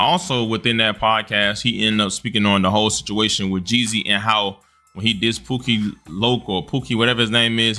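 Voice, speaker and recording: A man's voice: on the low side, pushed and bright. A man giving casual opinion commentary in an earnest, emphatic, slangy way.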